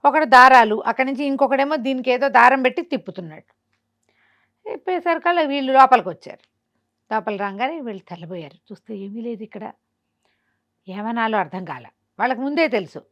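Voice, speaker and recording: Female storyteller, Telugu accent, somewhat high pitch. Conversational folk-tale delivery, expressive with character dialogue. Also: brisk, long pauses, lo-fi sound.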